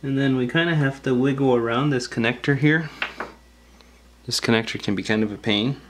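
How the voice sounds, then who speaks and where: A man's voice: mid-range voice, normal speed, slight background noise. A man giving casual, step-by-step hands-on instructions in a plain-spoken, informal way. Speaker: repair tutorial host.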